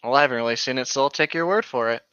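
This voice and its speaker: A man with a bright, sharp voice co-hosts in a casual, chatty style. The sound is dry and close, a little dull and lo-fi. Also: medium pitch, very fast, clean tone, dry recording. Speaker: co-host. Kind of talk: casual commentary chat, reading a story aloud.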